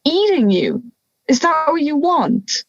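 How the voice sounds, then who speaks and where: A woman's voice: sits high, very animated, takes it slowly, no echo, highs cut off, exaggerated voice. A young woman playing a touchy, wry, harmless talking wolf in an audio drama, indignant and teasing.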